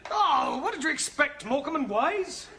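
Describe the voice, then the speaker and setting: An actor playing a polite, flustered teacher with an English accent, hosting a school concert in a sitcom. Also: male voice, very high-pitched, animated, moderate pace, vocal fry, full character voice. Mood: patient, flustered. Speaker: teacher and compere. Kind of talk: sitcom acting. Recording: loud noise behind the voice.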